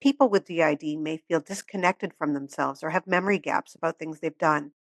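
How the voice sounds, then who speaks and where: A woman hosting a podcast interview, giving calm, measured explanations and then sharing her own experience. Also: sits low, no room sound, studio quality. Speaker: podcast host.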